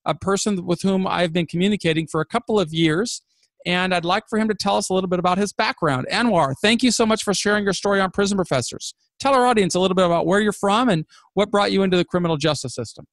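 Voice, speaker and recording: A man's voice: sits high, fast, no echo. A man hosting a podcast, reading an intro and then asking a guest encouraging questions. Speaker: podcast host. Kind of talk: scripted intro and interviewing.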